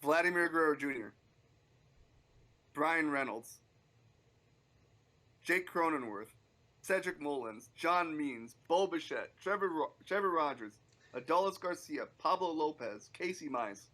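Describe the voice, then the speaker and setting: A man's voice: a little high, expressive, unhurried, long pauses, bright, forceful tone. A man around thirty chatting casually about sports on a podcast, informal and emphatic in his banter. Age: 30s.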